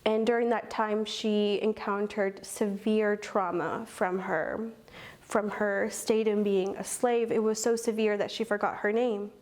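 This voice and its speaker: A woman's voice: medium pitch, level tone, measured pace, a little mellow. A young woman in her twenties from Kentucky, a program coordinator, answers interview questions earnestly, with many ums. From Owensboro, Kentucky.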